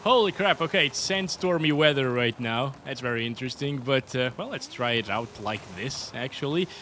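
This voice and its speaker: Portuguese-accented guy chatting thru a gameplay benchmark, upbeat, mid-pitched voice, faint hiss. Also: lively intonation, normal speed, pushed and bright. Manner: chatty, upbeat, often says "guys". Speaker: PC hardware reviewer. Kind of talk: casual gameplay commentary.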